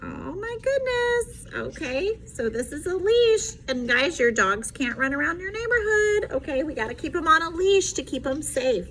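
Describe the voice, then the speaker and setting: High-pitched, animated woman reading to children. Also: moderate pace, hardly any pauses, rough recording. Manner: animated, explains simply to children. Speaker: special education teacher. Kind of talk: children's picture book read-aloud with asides. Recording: faint background noise.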